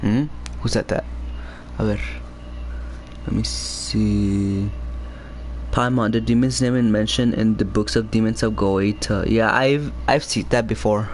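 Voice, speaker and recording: A man's voice: lowish voice, steady tone, measured pace, a little hiss or hum. A man streaming a game, casually commenting and mumbling the on-screen lines aloud. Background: game music.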